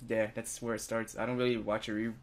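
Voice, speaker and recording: A man's voice: fairly low pitch, level tone, fast talker, a little hiss or hum. A man hosting a casual, unscripted talk podcast, informal and a bit hesitant between topics.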